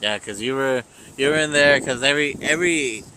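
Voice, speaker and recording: A man's voice: mid-range voice, fairly quick, pushed and bright, a little hiss or hum. A man hosting a casual vlog chat at a convention, whose first language is not English, joking and laughing often as he talks. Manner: joking, laughs often, trails off mid-sentence. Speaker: vlog host. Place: convention center.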